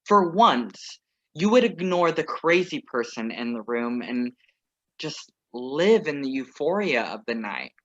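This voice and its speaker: A man's voice: medium pitch, sing-song. A man reading the part of a composed, wry ex-lover who crashes a wedding, in a staged play reading over a video call. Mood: earnest. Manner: measured, wry, earnest. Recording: muffled video call audio.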